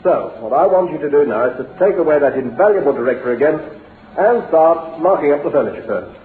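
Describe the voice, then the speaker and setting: Male actor as patronising, falsely jovial businessman for vintage radio drama, highly varied delivery, phone-like sound. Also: lively intonation, fast talker, natural voice. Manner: hearty, patronising, chuckling. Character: glib, jovial businessman hiding a swindle, falsely encouraging. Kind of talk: old-time radio drama acting. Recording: lo-fi narrow-band radio recording, faint noise, roomy.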